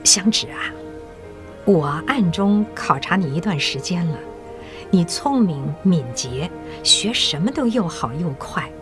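An actress playing a middle-aged female school principal who secretly recruits agents, speaking in a measured, coaxing and kindly authoritative way in an audio drama. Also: lowish voice, lively intonation, over music. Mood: encouraging. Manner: measured, coaxing, elder's patient tone.

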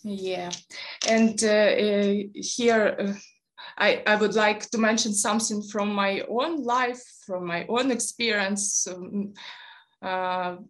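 Young Ukrainian-accented woman guest; slow, hesitant delivery full of fillers. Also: medium pitch, fairly even intonation. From eastern Ukraine. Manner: hesitant, many pauses and fillers. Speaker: guest speaker, Fulbright coordinator. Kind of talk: interview conversation.

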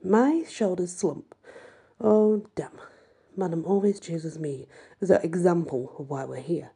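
A woman's voice: on the low side, measured pace, gravelly, mellow, breathy edge. A woman reading a first-person audiobook story in a sardonic manner. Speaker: audiobook reader.

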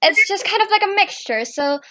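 A woman's voice: very high voice, lively intonation, normal speed, bright, forceful tone, dry recording. A teenage girl hosting a chat, often stuttering and restarting, a native Indonesian speaker. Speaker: podcast host. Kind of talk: casual chat.

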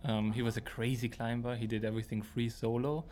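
A man's voice: mid-range voice, little pitch movement, fairly quick, a little mellow, a little hiss or hum. A man with an Austrian accent and German as his first language, talking reflectively as an architect being interviewed.

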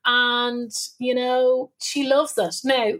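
A talkative middle-aged Irish woman with a slightly raised pitch tells her story to a podcast host. She speaks loosely and colloquially, laughing easily as she goes. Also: fairly even intonation, slow, a bit bright. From Ireland. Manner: chatty, laughs often, colloquial. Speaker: guest, business owner and mother. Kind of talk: podcast interview, candid storytelling.

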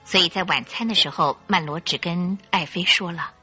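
A woman narrating a scripted radio drama in an expressive, suspenseful storytelling style. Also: fairly low pitch, moderate pace, husky, soft background music.

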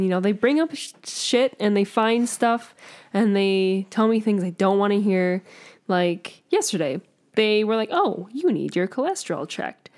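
A young woman co-hosting a podcast, telling a candid story in a giggly, animated way. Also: medium pitch. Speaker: podcast co-host. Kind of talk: casual podcast chat.

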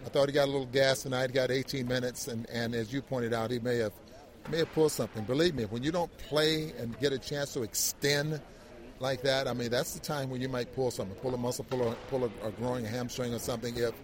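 Halting male sports analyst, mid-range voice. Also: mid-range voice, steady tone, slight background noise. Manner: halting, repeats words and circles back. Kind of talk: conversational post-game analysis.

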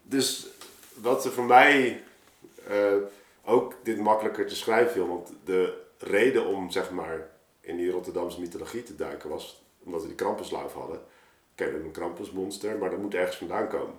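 Low-voiced man telling stories in an echoey room. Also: normal speed. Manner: rambling, frequent colloquial tags. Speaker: podcast guest, artist and storyteller. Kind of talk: casual conversational storytelling. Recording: roomy, echoing podcast recording.

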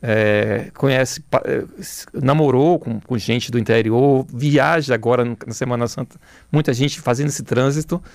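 A middle-aged man with a Bahian Brazilian accent, talking reflectively as an interview guest, haltingly, repeating words as he searches for phrasing. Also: medium pitch, a little mellow, no echo, clean studio sound.

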